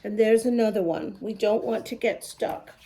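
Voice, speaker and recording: A woman reading a picture book aloud to young children, expressive and instructive, with asides spoken straight to the little listeners. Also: mid-range voice, normal speed, gravelly, slight background noise, low-quality recording. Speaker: children's story reader.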